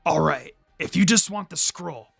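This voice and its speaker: A narrator voicing a brash, blunt, rough-spoken teenage boy ninja in a story reading. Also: medium pitch, animated, moderate pace, a bit bright, dry recording, soft background music, pitched below the performer's own voice, heavily acted. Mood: bitter.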